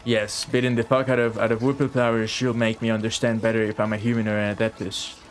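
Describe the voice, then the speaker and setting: A man giving casual live commentary as a game streamer, reacting out loud and swearing freely. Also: fairly low pitch, flat delivery, fast. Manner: profane, reactive, talks to game and viewers. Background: game music.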